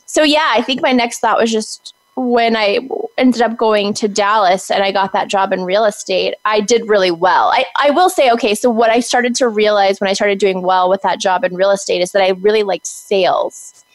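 A woman guest in a casual interview, with a high-pitched, girlish voice, talking in a bubbly way and laughing often. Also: steady tone, moderate pace, dry recording, low-quality recording.

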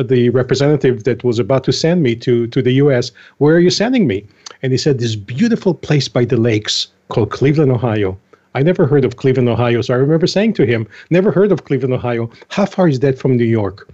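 An older man speaks as a podcast guest, recounting his life at an easy pace with many small fillers and laughs. His voice sits at an ordinary middle pitch, heard through somewhat dull, lo-fi audio. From Israel. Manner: relaxed storytelling with frequent fillers and small laughs. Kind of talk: interview conversation.

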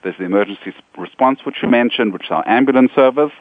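A middle-aged man from South Africa with a South African accent, giving earnest, measured interview answers full of ums. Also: mid-range voice, dry recording. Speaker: nonprofit executive, guest. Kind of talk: interview answers, personal story. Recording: dry, phone-like narrow sound.

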